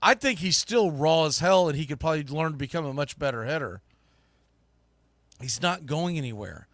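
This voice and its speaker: A man with a fairly high, bright and punchy voice hosts a live sports show. He delivers opinionated commentary in an emphatic, colloquial style. The sound is close and very dry. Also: animated, moderate pace, dry recording. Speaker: sports podcast host and play-by-play broadcaster.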